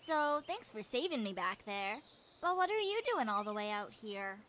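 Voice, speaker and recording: A woman's voice: fairly high pitch, big pitch swings, moderate pace, a bit bright, a little hiss or hum. A woman voicing a scrappy young wildcat girl in a video game, blunt and cheeky with slangy speech.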